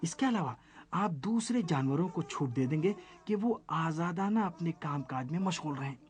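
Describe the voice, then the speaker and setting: A man's voice: a little high, lively intonation, normal speed, a little mellow, lightly acted. A man voicing anxious jungle animals under a tyrant's rule in a children's story, speaking earnestly and formally. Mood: respectful.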